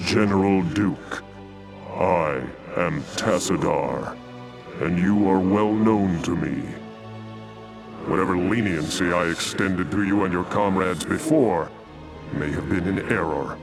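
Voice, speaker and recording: A man playing a noble, resolute alien warrior commander in a video game, speaking with formal, grave and measured oratory. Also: sits low, creaky, heavily acted. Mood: stern, defiant. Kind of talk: dramatic video game acting. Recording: game audio under loud music.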